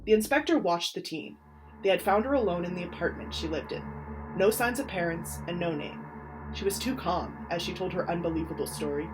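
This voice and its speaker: A woman's voice: lowish voice, poor audio. A woman reading a scripted horror story aloud in a theatrical, suspenseful way. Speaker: author reading their own short story.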